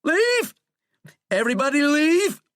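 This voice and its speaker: A man's voice: fairly low pitch, flat delivery, a little fast, a bit bright, clear, resonant tone, dry recording, clean studio sound, lightly acted. A man voicing a furious, petulant, self-important American president in an audiobook, blustering and defensive. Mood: screeching fury. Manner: blustering, defensive, prone to screeching. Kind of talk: audiobook character acting.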